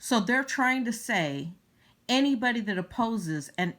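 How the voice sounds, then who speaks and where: A woman vlogger giving unscripted political commentary in a halting, emphatic way. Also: on the high side, lively intonation, fairly bright tone.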